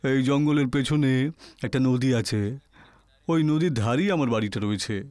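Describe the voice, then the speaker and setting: A man's voice: medium pitch, moderate pace, a little mellow, clear, resonant tone, dry recording, clean studio sound, voice pushed down, lightly acted. A man voicing a grave local village guide, solemn and ominous, in an acted horror story. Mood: matter-of-fact, low. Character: grave male local village guide telling cursed-treasure lore.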